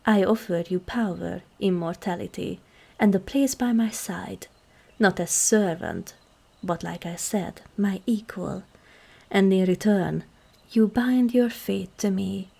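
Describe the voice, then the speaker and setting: A woman playing a regal, seductive ancient demon queen in an ASMR roleplay, measured and commanding, with a husky, breathy, creaky voice that often softens toward a whisper. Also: medium pitch, full character voice. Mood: solemn, persuasive. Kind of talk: ASMR roleplay, scripted monologue to the listener.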